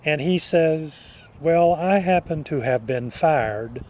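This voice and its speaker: An older man telling a personal reminiscence to listeners, speaking in a measured, deliberate way with halting phrasing. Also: fairly high pitch, animated, a little mellow. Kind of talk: personal reminiscence told to an audience.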